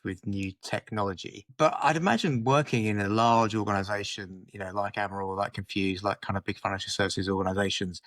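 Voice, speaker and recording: A man's voice: fairly low pitch, fairly bright tone, no echo, clean studio sound. A man hosting a podcast, asking long, meandering questions with many repeated words. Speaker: podcast host. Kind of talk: conversational interview questions.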